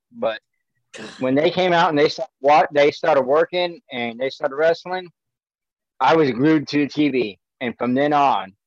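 A man's voice: a little high, no echo. A man in his late thirties chatting casually as a panelist on a podcast. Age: late 30s. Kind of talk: casual chat. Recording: dull, lo-fi, dry sound.

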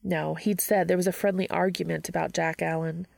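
A woman's voice: lowish voice, fairly even intonation, a little fast, vocal fry, no echo. A woman reading scripted fiction aloud as a narrator.

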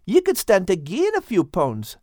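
Jolly Scottish animal, pitched up. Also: male voice, huge pitch swings, moderate pace, dark tone, dry recording, clean studio sound. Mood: fond, sheepish. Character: big-bellied jolly affectionate male animal warrior.

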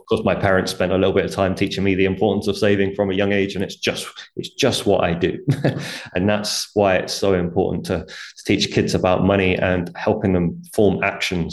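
A man, a guest author, explaining money habits earnestly in a conversational interview, with light laughs. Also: sits low.

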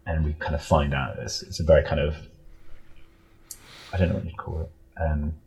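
Low, husky, mellow man chatting. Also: fairly even intonation. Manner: rambling, halting, self-interrupting. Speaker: audio drama creator, interview guest. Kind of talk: casual interview chat.

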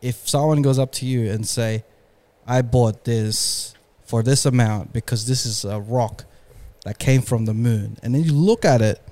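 A man co-hosting a laid-back podcast, his pitch sitting in an ordinary middle range. He talks in halting bursts, circling back with repeated "like" and restarts as he works out his point. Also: dark tone. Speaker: podcast co-host. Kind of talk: casual chat.

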